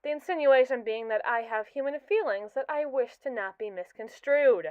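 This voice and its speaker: A woman's voice: fairly high pitch, clean tone, dry recording, phone-quality sound, natural voice. A woman as a sardonic captive robot AI. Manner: verbose, arch and mocking, with slow drawn-out emphasis. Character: haughty, scheming captive robot AI, sardonic and condescending. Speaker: ASMR roleplay performer. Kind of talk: scripted ASMR roleplay monologue.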